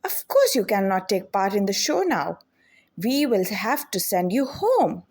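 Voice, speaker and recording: A woman reading the part of a brisk, authoritative male show director in a children's storybook. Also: medium pitch, sing-song, a little mellow, slightly rough, voice pushed down, exaggerated voice. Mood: angry, stern. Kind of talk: children's storybook read-aloud.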